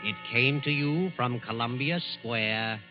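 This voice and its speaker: An American man narrating in a measured, ominous, dramatic 1940s radio style with weighty pauses. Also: mid-range voice. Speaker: narrator and announcer. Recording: dull 1940s radio broadcast with music. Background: dramatic music.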